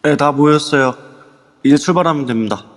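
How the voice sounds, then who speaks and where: A man's voice: fairly high pitch, brisk, in a large hall, lightly acted. A man playing a younger male companion, talking casually. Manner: casual, reporting. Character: younger man who calls the leader big brother. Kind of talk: amateur audio drama acting.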